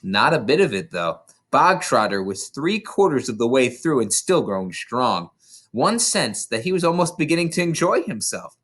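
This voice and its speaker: Very animated storybook reader. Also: medium pitch, normal speed, slightly rough. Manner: expressive, stumbles over words now and then. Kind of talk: animated read-aloud narration of a children's novel.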